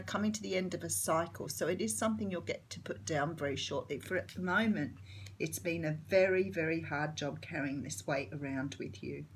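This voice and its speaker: A middle-aged Australian woman with a slightly croaky morning voice, chatting casually through a tarot reading with lots of fillers. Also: fairly low pitch, a little hiss or hum. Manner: chatty, friendly, filler-heavy. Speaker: tarot reader.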